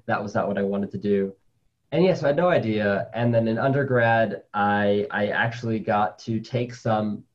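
A relaxed male spider scientist speaking in a low, easy voice, chatty and full of casual fillers. The sound is a little dull and muffled, like remote call audio. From Colombia, raised in New York City. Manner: chatty, with many "like" fillers, laughs easily. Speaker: interview guest, spider scientist. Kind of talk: casual conversational interview.

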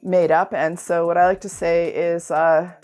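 A woman's voice: on the low side, flat delivery, a little slow, a little mellow, faint music behind. A woman guest giving advice in casual interview talk, informally repeating and rephrasing for emphasis. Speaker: artist, podcast guest.

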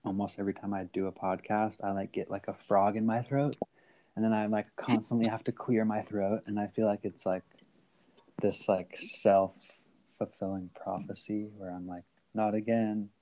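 A man's voice: on the low side, level tone, normal speed, dark tone. A man hosting a podcast over a phone call, talking casually with lots of fillers and restarts. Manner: rambling, many fillers and restarts. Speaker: podcast host.

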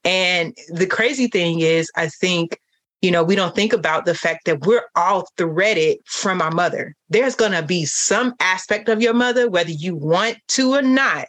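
Reflective low-voiced woman, dry sound. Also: a little slow, fairly bright tone, no echo. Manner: reflective, many "you know" and "like" fillers. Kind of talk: casual faith-based chat.

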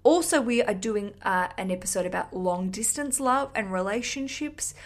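A woman's voice: mid-range voice, animated, normal speed. A woman hosting a podcast, chatting casually and effusively to listeners in a cheeky, sweary, rambling way. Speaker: podcast host.

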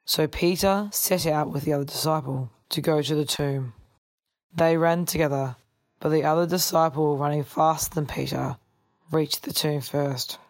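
A woman's voice: low-pitched, fairly even intonation, moderate pace, no echo. A woman reading a Gospel passage aloud in a formal scripture-reading style. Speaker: scripture reader.

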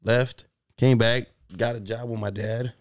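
A man's voice: medium pitch, fairly even intonation, unhurried, no echo, studio quality. A man in his thirties co-hosting a podcast, speaking casually and stammering his way into his points. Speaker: podcast co-host. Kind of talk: casual chat.

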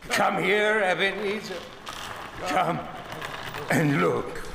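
An actor playing the mournful, ominous ghost of a dead banker in a theatrical radio drama, with wailing, drawn-out, solemn pronouncements. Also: male voice, high voice, creaky, noisy background, heavily acted. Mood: tormented. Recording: cavernous long reverb with music behind.

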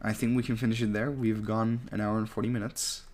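A man's voice: on the low side, flat delivery, fairly quick, darkish tone. A man with a Canadian accent hosting a casual podcast, rambling and self-deprecating, laughing often. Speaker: podcast host.

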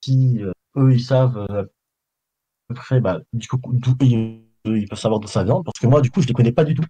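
A man tells a story haltingly, pausing over and over. His voice is very dark and husky, and his bad, distorted mic makes him hard to follow. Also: medium pitch, muffled recording. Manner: halting, many fillers. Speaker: caller telling a story. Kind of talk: hesitant casual storytelling.

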